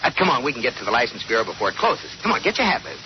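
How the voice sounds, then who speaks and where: A man's voice: mid-range voice, very animated, rapid-fire, close to an everyday voice. A man playing a flustered, exasperated husband in an old radio comedy, stammering and blustering. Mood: nervous, coaxing. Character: flustered, exasperated husband whose prank backfired. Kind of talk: 1940s radio comedy acting. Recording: dull, noisy old radio recording.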